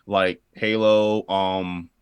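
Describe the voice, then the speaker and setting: A man with a deep voice, recorded very dry, gives his opinions on a casual panel. He talks hesitantly, assembling each thought as he goes. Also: flat delivery, drawn-out words, clean tone, no echo. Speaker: podcast panelist.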